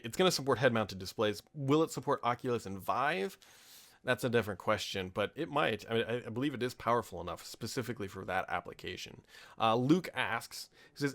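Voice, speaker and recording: A man's voice: medium pitch, fairly bright tone. American man hosting a tech podcast. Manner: informal, opinionated, rambling with self-corrections. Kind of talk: casual off-the-cuff commentary answering listener questions.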